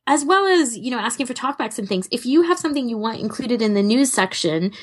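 A woman co-hosting a podcast in casual chat, with an enthusiastic manner and a husky voice. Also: fairly high pitch, very animated, fast talker. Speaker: podcast co-host.

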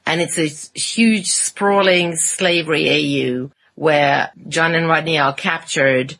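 A woman's voice: low voice, a bit bright, slightly rough. A woman on a podcast panel, chatting casually and with enthusiasm about fan fiction. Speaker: podcast panelist and podfic narrator.

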